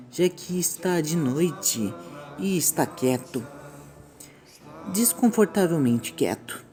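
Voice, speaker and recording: Brazilian female host, theatrical storyteller, slightly low and very animated, for scripted horror commentary. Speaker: YouTube host.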